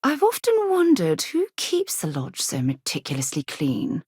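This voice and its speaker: A woman's voice: low voice, huge pitch swings, unhurried, darkish tone, slightly rough, no echo, full character voice. A woman playing a composed, principled space explorer and former navy officer in a video game, speaking in an articulate, earnest way. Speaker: video game companion character. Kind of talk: acted game dialogue voice lines.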